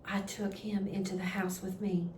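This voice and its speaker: A woman voicing a frightened farm wife telling of a scare in a storybook, emphatic and halting. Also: mid-range voice, little pitch movement, natural voice. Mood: firm. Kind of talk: children's storybook read-aloud. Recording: echoey room with background noise.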